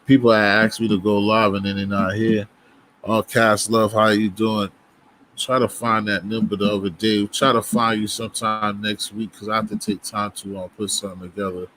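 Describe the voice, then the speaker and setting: A man's voice: lowish voice, a bit bright, rough recording. Boston dude on a livestream, rambling chat with his viewers, lots of restarts. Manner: loose, rambling talk to viewers, frequent restarts. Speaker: livestream host.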